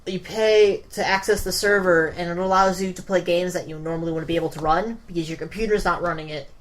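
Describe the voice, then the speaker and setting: A woman's voice: on the low side, fairly even intonation, fast. A woman co-hosting a podcast, explaining things in casual tech chat with hesitant, informal phrasing. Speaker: podcast co-host. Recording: noisy room ambience.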